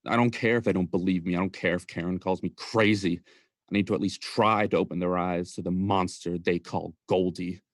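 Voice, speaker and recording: A man's voice: low-pitched, fairly even intonation, brisk, no room sound, studio quality. A young man playing an indignant, self-righteous son in an emphatic, melodramatic radio play monologue. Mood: resolute. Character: indignant, self-righteous grieving son, bent on exposing a killer. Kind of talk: student radio play acting.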